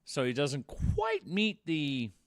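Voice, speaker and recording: A man's voice: fairly high pitch, huge pitch swings, takes it slowly, a crowd in the background. A man co-hosting a podcast, telling true-crime stories in a conversational way with wry, darkly joking asides. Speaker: podcast co-host.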